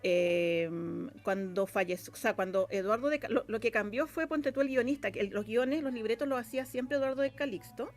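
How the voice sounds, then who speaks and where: A woman with a Chilean accent talking casually as an interview guest, often halting and rephrasing herself. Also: on the high side, fairly even intonation, soft background music. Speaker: guest, radio drama scriptwriter.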